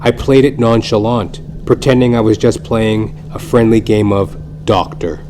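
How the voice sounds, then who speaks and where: A man's voice: fairly low pitch, noisy background. A man narrating a scripted comic story in a theatrical, mock-grandiose, wry manner. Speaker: comedy podcast host, storyteller. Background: music.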